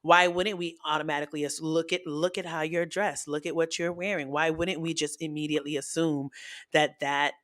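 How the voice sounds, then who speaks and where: Animated man, dry close sound. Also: fairly high pitch, a little fast, dry recording. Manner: animated and expressive, with frequent restarts; frank and self-teasing. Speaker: guest podcaster. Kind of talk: casual chat.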